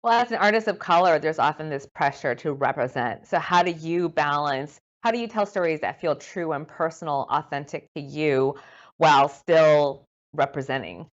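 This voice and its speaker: A woman with a notably low voice conducts a live interview. She phrases her questions and comments earnestly and articulately. The sound is a little dull, like streamed audio with the top end softened. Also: moderate pace. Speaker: interviewer, journalist host.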